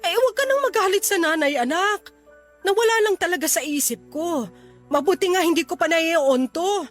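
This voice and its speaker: A high-voiced, flustered old mother. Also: animated, moderate pace, faint music behind. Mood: apologetic. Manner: hesitant, pleading, meek. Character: forgetful, apologetic old mother, humble and flustered. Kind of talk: Tagalog radio drama acting.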